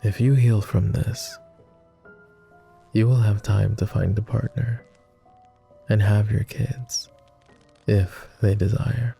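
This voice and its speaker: A man voicing a gentle, devoted vampire, speaking softly and formally in an intimate roleplay ASMR style. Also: on the low side, level tone, takes it slowly, pauses often, soft background music. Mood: tender longing. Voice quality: soft, gravelly. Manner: posh, formal, tender. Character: gentle, teasing male vampire, devoted and wistful.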